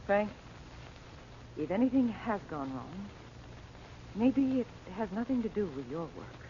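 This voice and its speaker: An actress playing a practical, concerned woman in an old radio serial, briskly chiding and questioning. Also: mid-range voice, sing-song, mellow. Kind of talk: 1940s radio serial acting. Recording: muffled, noisy old radio recording.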